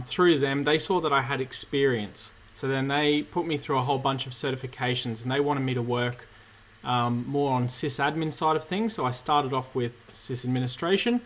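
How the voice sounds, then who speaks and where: A man, slightly croaky from illness, hosting a relaxed live-stream Q&A and answering chat questions with many fillers. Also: medium pitch. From Australia. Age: 30s. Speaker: cybersecurity streamer host.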